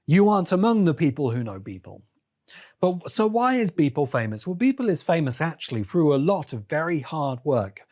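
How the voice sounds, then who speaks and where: A man hosting a podcast solo, giving conversational commentary in an emphatic, deliberate way, repeating phrases for effect. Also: fairly high pitch, very animated, darkish tone. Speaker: podcast host and critic.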